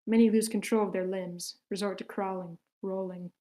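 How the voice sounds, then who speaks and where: A woman reads stage directions at a screenplay table read. Her mid-pitched voice stays fairly flat, moving matter-of-factly through short scripted sentences. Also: moderate pace. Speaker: narrator reading stage directions.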